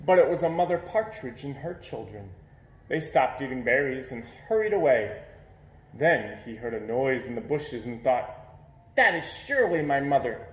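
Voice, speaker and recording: A man reading a children's picture book aloud in an expressive storytelling manner. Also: medium pitch, moderate pace, roomy sound, low-quality recording. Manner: expressive storytelling with playful sound words. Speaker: storybook reader.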